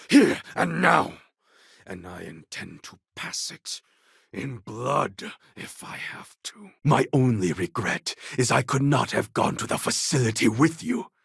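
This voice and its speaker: An actor playing a proud, warlike clone of a Mongol conqueror in a video game, with a gravelly, husky voice and a deliberate, grandly formal delivery. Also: male voice, medium pitch, a bit bright, no echo, studio quality, heavily acted. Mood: grave, brooding. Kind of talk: video game character acting.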